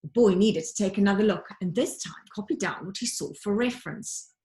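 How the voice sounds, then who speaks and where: A woman reads a children's adventure story aloud in an expressive, teacherly way. Her voice sits at a middling pitch, and she places dramatic, deliberate emphasis on key words as she narrates. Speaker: teacher reading aloud to pupils.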